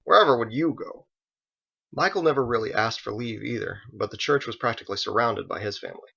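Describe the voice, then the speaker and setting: Male audiobook narrator, forceful and gravelly, reading a story on a dull lo-fi recording. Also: fairly low pitch, fast talker. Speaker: author reading own novel aloud.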